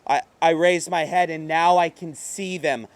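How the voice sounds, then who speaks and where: A man performing a dramatic horror story monologue as a terrified, exhausted madman, with breathy, halting, theatrical delivery. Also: on the high side, fairly even intonation, no echo, lightly acted. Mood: hushed dread. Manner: breathy, halting, theatrical, swinging from hush to outburst. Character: terrified, exhausted madman narrator hunted by demons.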